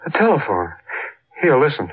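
An actor with an American accent playing an agitated, slangy husband bewildered by an emptied city, in 1940s radio drama style. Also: male voice, on the high side, wildly swooping pitch, normal speed, husky, heavily acted. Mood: impatient, puzzled. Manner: slangy, agitated, emphatic repetition. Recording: noisy, muffled old radio recording.

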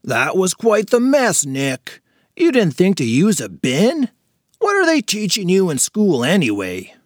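A man's voice: high voice, huge pitch swings, a little slow, dry recording, clean studio sound, pitched above the performer's own voice, full character voice. A man reading a children's story, voicing a grumpy, disapproving older neighbor. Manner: grumbling, critical.

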